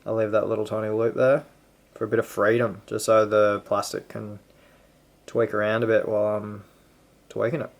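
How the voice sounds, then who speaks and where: A man's voice: fairly low pitch, little pitch movement, normal speed, vocal fry. A man casually demonstrating how to tie a fishing knot, chatty and full of slang. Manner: laid-back, chatty, slangy. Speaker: fishing channel host.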